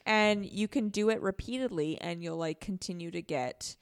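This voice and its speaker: A woman's voice: fairly low pitch, moderate pace, smooth, no room sound. Woman chatting casually, close dry sound. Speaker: podcast guest. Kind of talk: casual game discussion.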